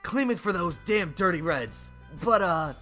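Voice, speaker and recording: A man voicing a cocky, delusional young mech pilot in a parody anime dub, brash and excitable. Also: sits high, unhurried, soft background music. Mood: conspiratorial.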